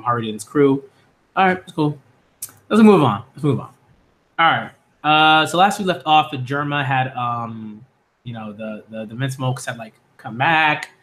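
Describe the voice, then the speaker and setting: A man's voice: mid-range voice, pushed and bright. A man hosting a manga reaction video, chatting casually with lots of fillers and self-corrections. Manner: stumbles when reading names aloud. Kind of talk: casual live reaction chat.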